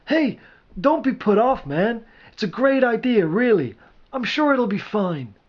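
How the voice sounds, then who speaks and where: Man acting out higher-pitched, hesitant male sidekick. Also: normal speed, highs cut off, lightly acted. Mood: consoling. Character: hesitant, well-meaning male sidekick, practical and doubtful.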